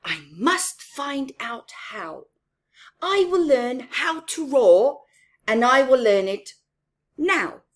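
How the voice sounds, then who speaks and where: A woman's voice: sits high, very animated, slow pace, pitched above the performer's own voice, heavily acted. A woman voicing a tiny, hopeful male mouse in a children's storybook, speaking earnestly and emphatically as he thinks aloud. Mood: determined.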